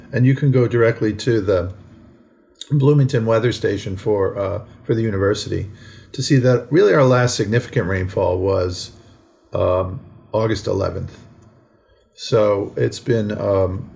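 Low, gravelly American man explaining things. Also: a little mellow. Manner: measured, explanatory, hedging with asides. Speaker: podcast co-host. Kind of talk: conversational current-events discussion. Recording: faint background noise.

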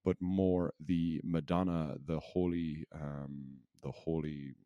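A man with a very low voice co-hosts a casual podcast. He speaks in a loose, rambling flow, often poking fun at himself. The sound is close and dry, with hardly any room in it. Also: steady tone, slow pace, dark tone, clear, resonant tone, no room sound, highs cut off. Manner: rambling, jokey, self-deprecating. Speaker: podcast co-host. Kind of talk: casual unscripted podcast chat.

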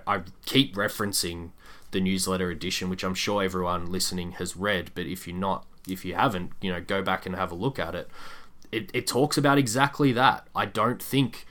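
A man co-hosting a sports podcast, giving measured, candid analysis in a casual discussion. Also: low voice, steady tone, pushed and bright.

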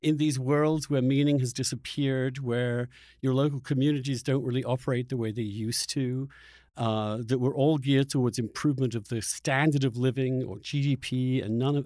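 A male interviewer speaks at an average pitch, working out his ideas as he talks. His phrasing is searching and halting, with frequent rewordings. The sound is dry, with no room around the voice. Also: steady tone, warm tone, dry recording. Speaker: podcast host interviewing a guest. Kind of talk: conversational interview, thinking aloud.

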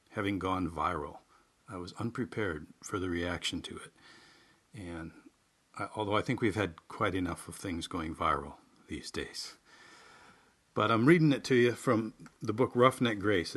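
Low-voiced man, folksy delivery. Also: fairly bright tone. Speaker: podcast host and writer. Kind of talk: casual monologue and reflective essay reading.

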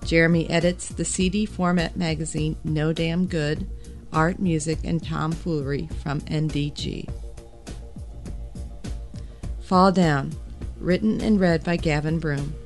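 Low-voiced woman announcer over music. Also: steady tone, measured pace, few pauses. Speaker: podcast announcer. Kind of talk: scripted announcement.

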